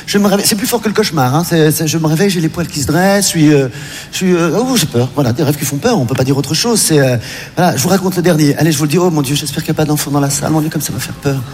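A man doing absurd stand-up before a live audience, talking in halting bursts full of fillers. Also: fairly high pitch, darkish tone, slight background noise. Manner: hesitant, halting with many fillers. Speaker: stand-up comedian. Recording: live hall recording aired on radio.

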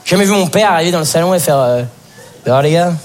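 A man's voice: high-pitched, expressive, very fast, some chatter behind. A nineteen-year-old man from France doing a casual, slangy stand-up monologue, talking straight to the audience. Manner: conversational, slangy, addresses the audience. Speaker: stand-up comedian. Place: live comedy show before an audience. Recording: noisy live stage recording.

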